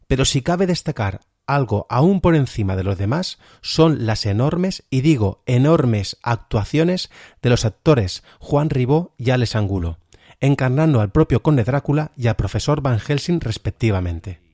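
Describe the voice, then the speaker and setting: A man's voice: mid-range voice, animated, a little slow, darkish tone, dry recording, studio quality. A man presenting a podcast review of audio fiction in an informal, emphatic way. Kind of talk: review narration.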